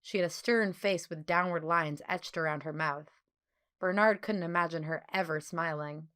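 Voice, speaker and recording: A woman reading a children's story as an audiobook narrator, with clear phrasing and expressive delivery. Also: lowish voice, normal speed.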